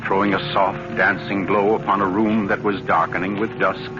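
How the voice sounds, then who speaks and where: An actor playing an author who narrates a suspense tale in a measured, ominous, dramatic 1940s radio style. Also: male voice, low-pitched, level tone, music in the background, lightly acted. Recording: dull old radio broadcast recording.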